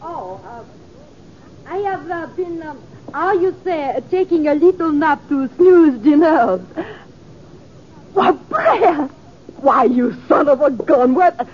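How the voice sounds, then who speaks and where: A lively theatrical wife with a high voice and an unhurried, exclamatory delivery. It sounds like 1950s radio drama, with a dull, muffled recording. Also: female voice. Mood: affected, coy. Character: lively actress wife affecting foreign grandeur, then folksy.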